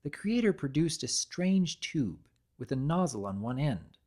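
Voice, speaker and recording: A narrator giving an expressive, deliberate dramatic reading of a story. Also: mid-range voice.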